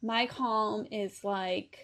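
A middle-aged woman hosting a podcast, chatting playfully and hoarse from losing her voice. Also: mid-range voice, steady tone, very slow, fairly bright tone. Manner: playful, says "like" often. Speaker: podcast host. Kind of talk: casual chat.

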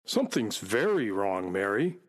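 An actor playing a knowledgeable, loyal talking space horse with a measured, earnest manner in a children's radio play. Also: low-pitched, sing-song. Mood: concerned.

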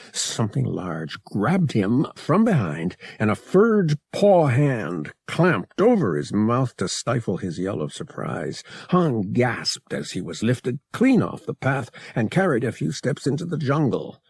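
A male narrator reads scripted fiction with expression. His pitch moves widely around a middle register. The voice is gravelly, and the recording is close and dry. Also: sing-song, measured pace, few pauses, a little mellow, dry recording. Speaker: audiobook narrator.

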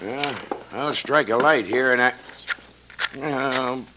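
An actor playing a good-natured, plain-spoken sheriff with a rural Western American accent in an old radio western. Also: male voice, mid-range voice, slow, background noise, lightly acted. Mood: puzzled, folksy. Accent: rural American West. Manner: folksy, plain-spoken, drawling. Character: folksy, good-natured small-town Old West sheriff. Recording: dull old radio recording.

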